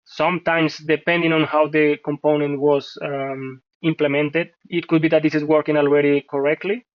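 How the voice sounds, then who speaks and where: A man from Colombia whose first language is Spanish, hosting a software tutorial and talking through a screen-share at a measured pace with frequent fillers. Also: on the high side, little pitch movement, no echo, lo-fi sound. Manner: thinking aloud, measured, many fillers. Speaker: host reviewing software scripts.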